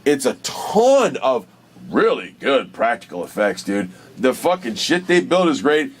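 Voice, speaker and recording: A man co-hosting a casual movie talk, excitable and emphatic, swearing freely as he banters. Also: medium pitch, measured pace. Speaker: podcast co-host.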